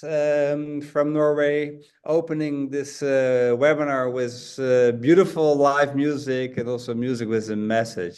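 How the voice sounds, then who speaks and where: Clear-voiced man hosting. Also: mid-range voice, darkish tone. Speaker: host, psychiatrist and network chair. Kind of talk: webinar welcome, semi-scripted talk.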